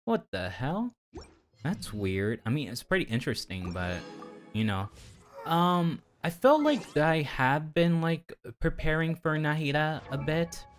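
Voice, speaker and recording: A man's voice: a little high, sing-song, a little slow, soft background music. A man streaming a game, chatting casually to viewers in a rambling, geeky, slangy way. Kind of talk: casual livestream chat to viewers while playing.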